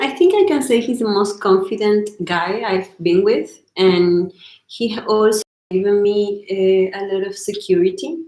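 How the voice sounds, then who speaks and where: A woman with a Peruvian Spanish accent answers questions in English, giggly and hesitant as she searches for words. Her voice has a middle pitch for a woman. The room is echoey. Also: a little mellow. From Peru. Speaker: guest, host's girlfriend. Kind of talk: casual chat.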